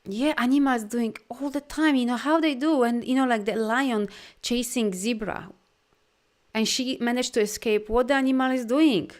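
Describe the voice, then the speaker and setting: A woman with a Polish accent, a native Polish speaker, leading a live video talk as a life coach. She speaks in an animated, encouraging way with loose grammar and many "you know"s. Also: fairly high pitch. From Poland. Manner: soft, slow guidance during breathing. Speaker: life coach and energy healer.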